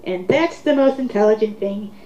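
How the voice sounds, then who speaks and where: A woman's voice: fairly high pitch, normal speed, warm tone. Woman in a roomy, muffled recording, sarcastic. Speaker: host of a dramatic reading. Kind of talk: reading online comments aloud with mocking commentary.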